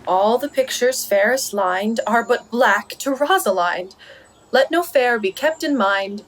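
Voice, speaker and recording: Woman acting slow-spoken young romantic heroine. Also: fairly high pitch, lively intonation, poor audio. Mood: savoring. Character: young woman reading love verses in Shakespeare comedy. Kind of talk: Shakespearean verse acting for radio play. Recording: faint background noise.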